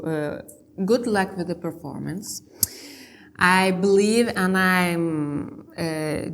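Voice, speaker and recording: A woman with a Lithuanian accent hosting a casual interview in English, speaking haltingly as she searches for words. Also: on the low side. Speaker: interview host.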